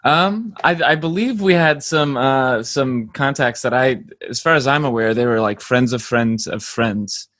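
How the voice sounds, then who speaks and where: A man's voice: mid-range voice, unhurried, few pauses, a bit bright, no room sound, clean studio sound. A young American man chatting casually as a band member being interviewed.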